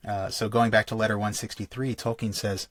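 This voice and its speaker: A man's voice: lowish voice, level tone, fairly quick, dry recording, studio quality. A man hosting a solo podcast, explaining in a thoughtful, lecture-like way and often restarting his phrases. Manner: thoughtful, reads quotations aloud, frequent restarts. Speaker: podcast host.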